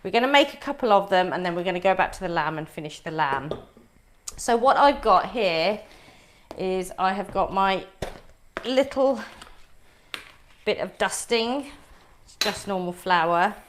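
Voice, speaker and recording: A woman's voice: mid-range voice, animated, unhurried, long pauses, a little hiss or hum. Chatty woman teaching a live cooking class. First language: Punjabi. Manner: chatty, explains each step to viewers.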